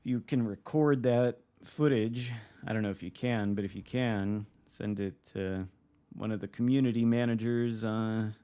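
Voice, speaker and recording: A man from Michigan with an American accent, commenting casually while streaming a game from home, wry and relaxed. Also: on the low side, a little slow, clear, resonant tone. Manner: relaxed, wry, reacting to the game with mild swearing. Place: home room.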